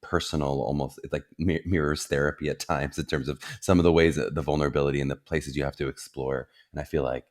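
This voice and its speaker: Earnest male podcast host, extremely deep voice, reflective. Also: steady tone, fast talker, few pauses. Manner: reflective, earnest, many fillers. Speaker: podcast host, theater program director. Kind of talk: casual podcast interview.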